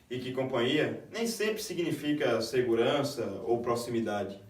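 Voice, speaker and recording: A man's voice: fairly high pitch, natural voice. A man with a Brazilian accent, an actor reciting a scripted theatrical monologue. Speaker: stage actor. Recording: echoey room, distant microphone.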